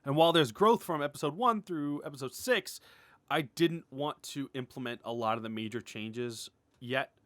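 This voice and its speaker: A man with a medium-pitched voice talks off the cuff in a dry, echo-free recording. He sounds like a creator giving a candid update to viewers. His delivery is halting and informal, with sentences that break off and start again. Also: a bit bright. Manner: rambling, halting, self-interrupting, often chuckling and clearing throat; informal, mildly sweary, candid. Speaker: animator and web series creator. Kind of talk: casual update vlog, unscripted.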